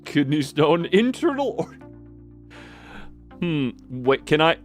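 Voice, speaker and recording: A man giving casual live commentary on a game as he streams, thinking out loud and often breaking off mid-sentence. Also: on the high side, big pitch swings, slow, soft background music.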